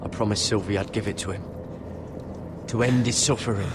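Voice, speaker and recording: A man's voice: fairly low pitch, fairly quick, noisy background, natural voice. A man playing an earnest loyal young man. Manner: earnest, steady. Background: music.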